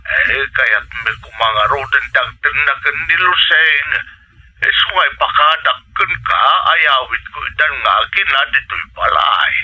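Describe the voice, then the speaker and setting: A Filipino man playing an aging, gently stubborn father in a radio drama, heard through a telephone filter. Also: medium pitch, lively intonation, unhurried, heavily acted. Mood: pleading, insistent. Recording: thin, harsh telephone line.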